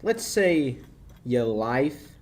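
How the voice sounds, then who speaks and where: A young actor playing a slick, mocking, menacing racketeer in a 1940s-style radio play. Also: male voice, mid-range voice, sing-song, very slow, a little mellow. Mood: wary, threatening. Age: young adult. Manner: sneering, wisecracking. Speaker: high school actor.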